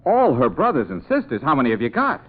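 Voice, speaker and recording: An actor playing a breezy, smooth-talking fixer who is helpful but slightly exasperated, in an old-time radio comedy. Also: male voice, medium pitch, huge pitch swings, fast talker. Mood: reassuring, surprised. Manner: glib, chuckling, smooth-talking. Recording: tinny, noisy vintage radio recording.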